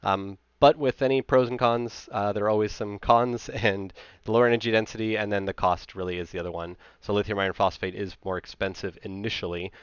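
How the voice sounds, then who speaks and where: A man's voice: on the low side, fairly even intonation, fairly quick, smooth, no echo, lo-fi sound. A man in his thirties giving an informal technical slide presentation in a conversational, explanatory way.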